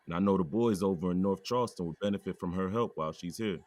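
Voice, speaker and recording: An actor playing a grieving police chief who speaks calmly to friends. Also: male voice, low-pitched, fast talker, dry recording, lightly acted. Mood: steady. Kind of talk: audio drama acting.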